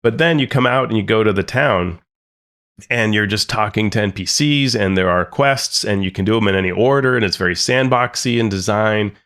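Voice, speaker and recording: Easygoing low-voiced man on a podcast. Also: brisk, fairly bright tone. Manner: easygoing, chuckles and backs up his partner. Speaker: podcast co-host. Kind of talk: casual podcast discussion.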